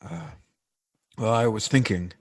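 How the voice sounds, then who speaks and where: Radio drama: trapped man, numb and brooding, played by a male actor; very slow, terse, hesitant lines, mid-range voice. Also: medium pitch, lively intonation. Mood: dazed, brooding. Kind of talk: 1940s radio drama acting.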